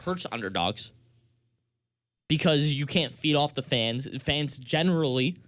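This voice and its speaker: A man's voice: on the high side, expressive, unhurried, fairly bright tone. An American man hosting a sports radio show, debating emphatically and laying out his case point by point.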